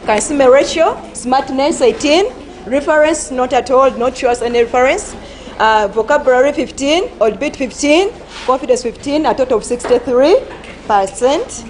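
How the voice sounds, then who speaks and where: A woman teacher reading out debate scores and advising pupils, speaking slowly with many fillers and repeated words. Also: high voice, slight background noise. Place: school assembly.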